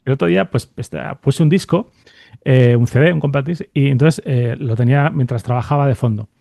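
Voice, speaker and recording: Male podcast host, Spain Spanish accent. Quick, relaxed, conversational, musing aloud; close dry sound. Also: mid-range voice, creaky, no room sound. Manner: thinks aloud, seeks agreement with tag questions. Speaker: podcast host interviewing a guest. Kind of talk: casual, reflective interview conversation.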